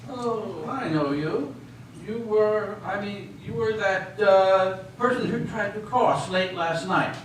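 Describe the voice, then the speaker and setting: High-voiced fretful male official played by a man, echoey. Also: takes it slowly. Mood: flustered. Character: fretful, gloomy, sarcastic male small-town official. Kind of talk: amateur readers theater stage reading. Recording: echoey hall, distant mic, faint noise.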